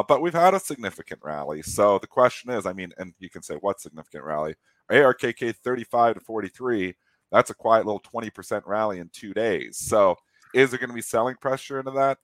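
Nasal man with a cold, lower voice. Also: a bit bright, no echo. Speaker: trader, regular guest.

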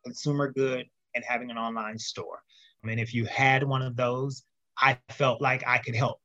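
Storytelling man, a bit muffled. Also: mid-range voice. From United States. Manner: animated storyteller, acts out quotes. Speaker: podcast guest, entrepreneur. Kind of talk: casual interview talk.

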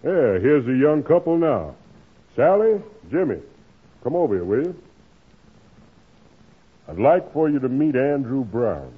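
A man's voice: mid-range voice, sing-song, a little slow, pauses often, slightly rough. A man playing a genial, coaxing reverend in a 1940s radio comedy. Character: genial, persuasive adult male reverend. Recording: muffled old radio broadcast.

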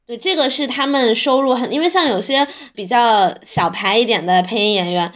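A woman's voice: on the high side, normal speed, fairly bright tone. A woman speaking as a podcast host in casual conversation. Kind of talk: casual chat.

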